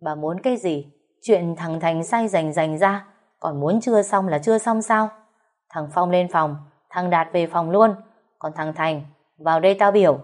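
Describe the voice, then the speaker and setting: Woman doing a gruff, commanding father-in-law. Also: on the low side, unhurried, darkish tone, close to an everyday voice. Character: stern older father-in-law, barking orders.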